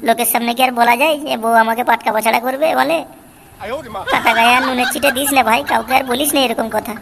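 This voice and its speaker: Man playing a high-pitched, henpecked West Bengal husband. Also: steady tone, fast, gravelly, heavy background noise. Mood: embarrassed, complaining. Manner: pleading, lamenting. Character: henpecked, cowardly, whining husband. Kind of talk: amateur comic cartoon dubbing.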